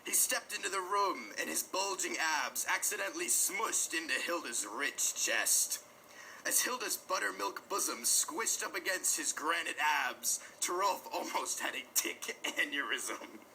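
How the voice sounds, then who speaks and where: A man narrates in a mock-dramatic, overwrought style, stretching words for emphasis like a parody romance audiobook. His voice is a bit high, very bright and forceful, with a gravelly edge. There is some noise in the recording. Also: lively intonation, low-quality recording. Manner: overwrought, melodramatic, drawn-out emphasis. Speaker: reader of a comic dramatic reading.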